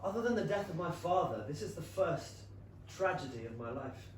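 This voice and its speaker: An actor playing an anxious gentleman of Regency England in a staged theatre reading, formal and earnest. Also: fairly high pitch, expressive, moderate pace, natural voice. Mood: regretful. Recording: very echoey theatre, distant noisy mic.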